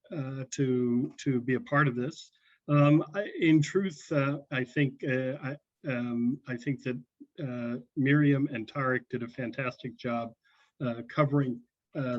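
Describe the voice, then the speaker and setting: A man in his sixties from North Carolina, talking as a guest over a video call, hesitant with many fillers. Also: medium pitch. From North Carolina, USA. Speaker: guest speaker. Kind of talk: personal talk over video link. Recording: dull lo-fi call audio.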